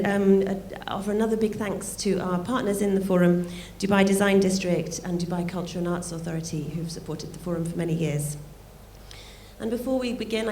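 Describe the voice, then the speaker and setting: A woman with a croaky voice giving a welcome speech at an event, with light asides as she thanks people. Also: fairly low pitch, warm tone. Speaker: art fair director opening a forum. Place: event venue. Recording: roomy hall sound, faint noise.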